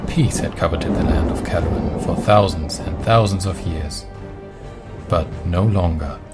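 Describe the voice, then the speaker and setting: Male narrator, very low voice, scripted dramatic fantasy storytelling over prominent music. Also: unhurried.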